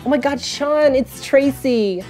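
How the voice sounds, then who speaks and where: A man's voice: extremely high pitch, a little slow, a little mellow, soft background music, voice pushed up. A man voicing a chirpy young woman making a prank phone call. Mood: friendly, coy.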